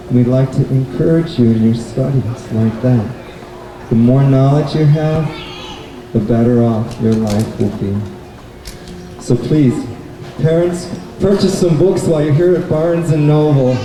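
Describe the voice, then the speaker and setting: A man presenting a live puppet show, telling stories and explaining their lessons to children in a measured, didactic way. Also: mid-range voice. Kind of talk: live storytelling and talk to children. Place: indoor bookstore event. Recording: echoey, noisy room, distant mic.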